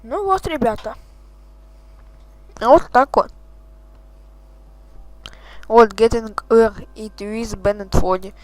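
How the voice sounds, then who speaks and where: A man's voice: extremely high pitch, lively intonation, takes it slowly, pauses often, dry recording. A man hosting a gaming channel, chatting casually and swearing as he reacts to the game. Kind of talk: casual let's-play commentary.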